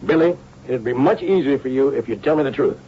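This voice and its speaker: An actor playing a shrewd, persistent police inspector, dry, courteous and probing, in a radio drama. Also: male voice, medium pitch, fast, natural voice. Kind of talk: radio drama acting and narration. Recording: dull, noisy old radio recording.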